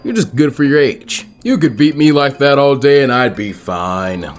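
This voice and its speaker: A man voicing a gruff, unbothered older male ninja mentor in fan fiction, with dry, grumbling banter. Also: mid-range voice, animated, moderate pace, soft background music, natural voice. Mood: cocky, defensive.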